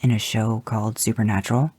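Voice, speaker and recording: A man's voice: fairly low pitch, flat delivery, fast talker, darkish tone, husky, no echo. A man reading fan fiction, voicing a level-headed male hunter who gives firm, urgent instructions.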